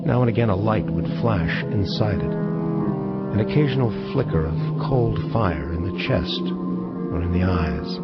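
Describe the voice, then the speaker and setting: An actor playing a somber angel detective who narrates in the first person, solemn and deliberate, in hardboiled style. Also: male voice, lowish voice, dull audio, over loud music. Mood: somber, wistful.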